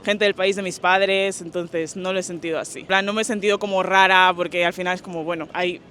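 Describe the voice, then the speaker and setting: Young woman, from Spain, bright tense tone, reflective casual answers, interviewee. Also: on the low side, fairly even intonation, brisk. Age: young adult. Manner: thoughtful, casual slangy phrasing.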